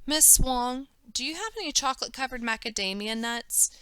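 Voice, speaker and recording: A woman's voice: sits high, expressive, brisk, bright, forceful tone, clear, resonant tone, no room sound, pitched above the performer's own voice. A woman voicing an earnest, polite young schoolgirl in a storybook reading. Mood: eager.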